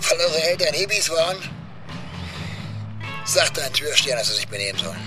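A man's voice: a little high, sing-song, a little fast. A man playing a menacing, mocking red-light district tough in a radio play, bullying and full of slang. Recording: loud music behind the voice.

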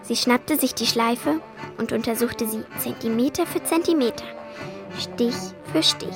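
A woman's voice: fairly high pitch, measured pace, music in the background. A female performer playing a young girl who narrates her family's story in a children's radio play. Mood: narrating. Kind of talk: scripted first-person narration for children.

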